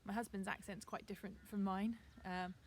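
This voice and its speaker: A woman teacher with a received pronunciation accent gives a chatty, relaxed video lesson outdoors in her garden, with frequent ums. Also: mid-range voice, a little fast, a little hiss or hum.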